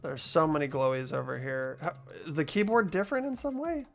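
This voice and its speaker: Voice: medium pitch, fairly quick. A game player chatting casually and jokingly during a playthrough with friends. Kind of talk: casual gaming chat. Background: faint game music.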